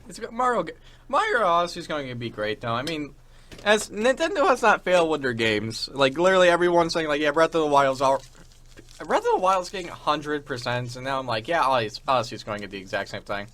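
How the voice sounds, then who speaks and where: A man's voice: on the high side, very animated, quick pace, fairly bright tone. A young man hosting a casual chat show, speaking informally in stop-start phrases with repeated words. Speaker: show host.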